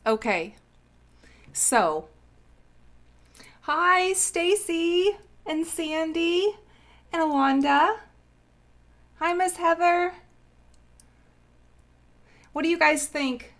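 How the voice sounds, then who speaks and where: A woman's voice: very high voice, animated, extremely slow, long pauses, a bit bright. A woman hosting a casual craft live stream, bubbly and sniffly from a cold, greeting viewers by name.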